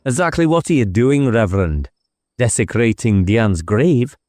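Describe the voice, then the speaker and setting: A man's voice: mid-range voice, animated, moderate pace, a little mellow, dry recording, clean studio sound, close to an everyday voice. A man voicing a blunt, impatient police inspector in an audiobook, questioning in a curt, sarcastic way. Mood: sardonic.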